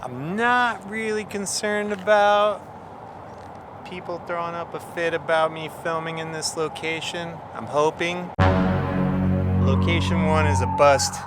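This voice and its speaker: A man's voice: on the high side, fairly even intonation, measured pace, loud music behind the voice. A man giving casual, off-the-cuff vlog updates to camera while outdoors at night. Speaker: video creator in a filming challenge. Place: outdoors at night near a road.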